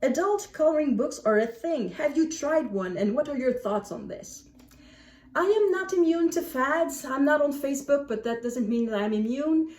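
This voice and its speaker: A woman book vlogger chatting casually to camera in an animated, self-deprecating way. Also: sits high, moderate pace. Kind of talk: casual chat to camera, answering book prompts. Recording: echoey room, distant microphone.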